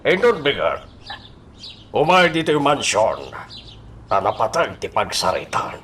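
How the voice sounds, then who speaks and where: A man with an Ilocano Filipino accent acting a guarded, fatherly crime boss in a radio drama, with halting, drawn-out delivery. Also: medium pitch, wildly swooping pitch, a bit bright, slight background noise. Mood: commanding.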